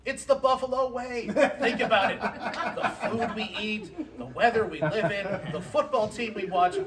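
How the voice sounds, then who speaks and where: An actor playing a brash, foul-mouthed but warm-hearted uncle and sports fan in a comedy table read, with a folksy, blunt delivery. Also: male voice, very high voice, full character voice. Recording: distant mics, noisy room, clicks.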